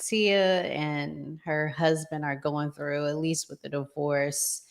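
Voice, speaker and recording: Lady podcast host, lowish voice, talks real slow, casual and deliberate. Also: little pitch movement, vocal fry. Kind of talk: casual relationship talk show hosting.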